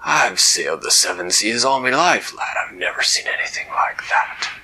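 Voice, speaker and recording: A man voicing a gruff old male sea captain who talks in hearty sailor's dialect, full of "aye" and "lad". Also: lowish voice, animated, moderate pace, bright, forceful tone, whispered, exaggerated voice. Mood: gruff, wary.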